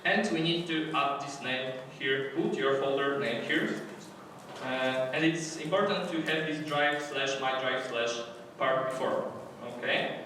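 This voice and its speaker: A man's voice: medium pitch, unhurried, sharp tone. A man with a Polish accent presenting a coding workshop in English, talking hesitantly with many fillers and restarts. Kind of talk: live coding workshop talk. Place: conference hall. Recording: echoey hall, distant mic, faint noise.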